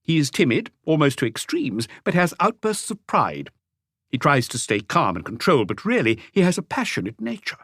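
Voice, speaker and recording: Man putting on a high-pitched, eager male expert. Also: huge pitch swings, normal speed, fairly bright tone, no echo, clean studio sound, exaggerated voice. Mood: eager, precise. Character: excitable, precise handwriting expert, eager adult man.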